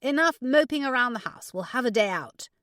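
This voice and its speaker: A woman voicing a brisk, caring female best friend in an audiobook, forthright and upbeat. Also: medium pitch, dry recording, clean studio sound, close to an everyday voice. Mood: indignant, brisk. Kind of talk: audiobook character dialogue.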